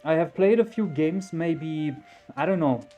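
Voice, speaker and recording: A young man talks in a relaxed, reflective way, as though thinking aloud. His voice sits a touch high for a man. Soft music plays quietly behind him. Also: measured pace, dull audio. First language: Romanian. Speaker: gaming YouTuber. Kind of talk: casual commentary over a game replay.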